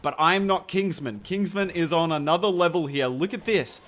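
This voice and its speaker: A man commentating a strategy game over footage, enthusiastic and conversational, with a slightly hoarse voice. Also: on the high side, normal speed, a bit bright. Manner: enthusiastic, conversational, points things out to viewers. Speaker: gameplay commentator.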